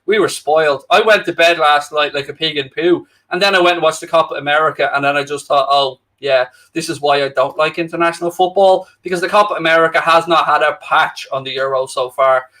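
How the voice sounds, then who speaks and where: A man's voice: a little high, fairly quick, few pauses, pushed and bright. A man in his late thirties hosting a live football watchalong, reading viewer comments and enthusing about the tournament. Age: 30s. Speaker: host.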